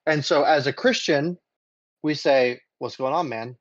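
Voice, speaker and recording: A man explaining points in a measured way during a casual panel discussion, recorded slightly lo-fi. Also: fairly high pitch, no room sound. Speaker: podcast panelist. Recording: slightly dull lo-fi audio, dry.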